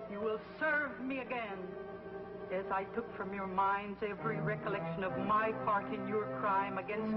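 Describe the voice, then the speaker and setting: An actress playing a cold, scheming witch villain in a 1950s TV drama, speaking with commanding, precise diction over loud music. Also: mid-range voice, lo-fi sound, natural voice. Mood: scornful command.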